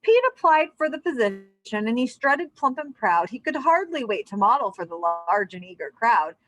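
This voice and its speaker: A woman reading a children's story aloud, expressive and speaking to young listeners as a storyteller. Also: on the high side, normal speed, no echo, low-quality recording. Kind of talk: animated children's read-aloud.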